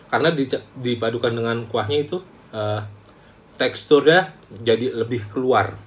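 A young man, chatting casually to camera in a small room as a food vlogger, animated and talkative. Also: mid-range voice, normal speed. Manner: chatty, animated, calls himself by name. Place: small room indoors, plain wall.

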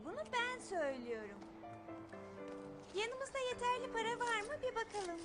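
A very high-voiced, bossy little girl. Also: expressive, normal speed. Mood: bossy, scolding. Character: bossy, responsible young girl, the big sister. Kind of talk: dubbed children's cartoon acting. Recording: loud music under the voice.

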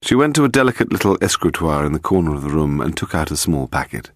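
A man with a British accent reading a detective story as scripted narration, in a measured, dramatic storytelling manner. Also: very deep voice, sing-song. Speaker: audiobook narrator.

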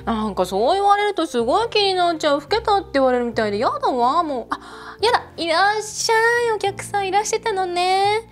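A woman's voice: extremely high pitch, big pitch swings, a little fast, hardly any pauses, faint music behind. A woman playing a chatty snack-bar hostess, gushing and talking in a feminine way to an unseen customer. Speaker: bar hostess in a role-play. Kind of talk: one-sided role-play with an unseen customer.

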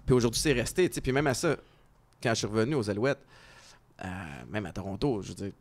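A man's voice: mid-range voice, lively intonation, darkish tone, slight background noise. A man from Quebec with a Québécois French accent, hosting a casual podcast interview in an upbeat, encouraging way. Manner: upbeat, encouraging, asks quick questions. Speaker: podcast host. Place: podcast studio with stone wall. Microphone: desk mic on boom arm.

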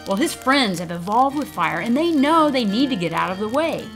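A woman's voice: fairly high pitch, very animated, normal speed. A woman narrating for children in an upbeat, simple way, as a prescribed burner explaining the forest. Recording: voice-over over music. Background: background music.

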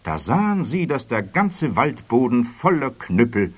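A man playing the narrator of a children's fairy tale, reading scripted storybook prose with expressive, dramatic phrasing. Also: medium pitch, unhurried, husky. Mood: suspenseful.

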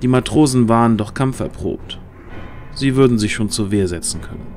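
A man's voice: mid-range voice, moderate pace, darkish tone. A man narrating a fantasy audio drama in a dramatic, scripted storytelling style. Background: music.

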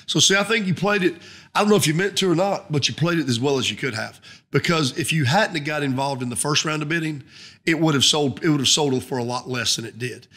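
Fast-talking folksy Southern man. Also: fairly high pitch, sharp tone. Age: middle-aged. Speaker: guest, auctioneer. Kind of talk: conversational podcast interview, explaining his business. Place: indoor podcast studio at a round table. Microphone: boom-mounted podcast microphone up close.

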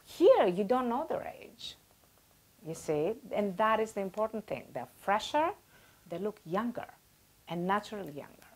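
Animated woman in her sixties explaining. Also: medium pitch, normal speed. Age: 60s. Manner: animated, gesturing, uses everyday comparisons. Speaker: guest expert. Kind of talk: explanatory interview talk. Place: small indoor office. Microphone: lapel mic.

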